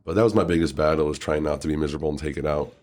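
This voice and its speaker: A man's voice: a bass voice, rapid-fire, a little mellow. A congested man hosting a podcast, chatting loosely, swearing and laughing often. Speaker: podcast host. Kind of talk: casual chat.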